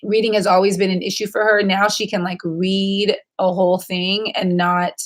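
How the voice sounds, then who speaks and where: A woman's voice: medium pitch, little pitch movement, moderate pace. This is a woman in her thirties with a mid-range voice. She hosts a livestream in a loose, conversational style. Her talk is chatty and informal, wandering from one thought into the next as she answers viewers. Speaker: livestream host. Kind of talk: casual chat, answering viewer questions.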